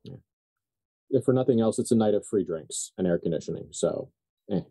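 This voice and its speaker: A man's voice: lowish voice, lively intonation, fairly quick, warm tone, breathy edge, dry recording. A man talking casually at a tabletop game as game master, informal and dryly wry.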